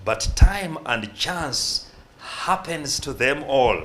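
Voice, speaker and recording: A man's voice: a little high, lively intonation, takes it slowly, a bit bright. Guy hosting a church talk show, switches between luganda and english, bit of faint room noise. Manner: mixes Luganda and English, preacherly. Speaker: host.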